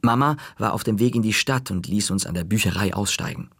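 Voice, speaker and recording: A man narrating a children's story in the first person with a lively, wry delivery. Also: lowish voice, brisk, creaky, soft background music. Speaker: audiobook narrator.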